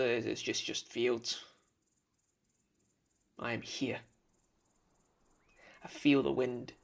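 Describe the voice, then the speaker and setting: A male actor as a solitary, thoughtful young man in a radio play. His voice has a marked creak at a medium pitch. He muses slowly through poetic thoughts, sometimes halting as if searching for the word. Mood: matter-of-fact. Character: pensive solitary young man musing on a bridge. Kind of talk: radio drama monologue.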